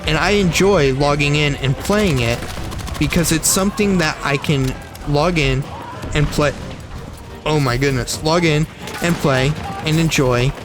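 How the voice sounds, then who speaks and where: A man's voice: a little high, measured pace, music in the background. A young man chatting non-stop while playing a shooter game, rambling, repeating and correcting himself, with a slight frog in his throat. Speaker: gaming streamer. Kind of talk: casual gameplay commentary.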